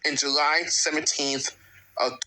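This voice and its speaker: A man around thirty talking to his own channel's viewers, with halting speech, broken grammar and lots of repeats. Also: on the high side, little pitch movement, pushed and bright, poor audio. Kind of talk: speaking to camera in his own video.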